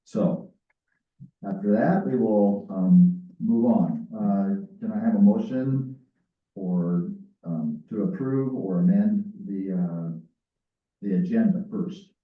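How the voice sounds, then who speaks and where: A man chairing a library board meeting, speaking haltingly with frequent filler sounds. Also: fairly low pitch. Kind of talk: running a public board meeting. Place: council meeting room. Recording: echoey chamber, distant tinny mic.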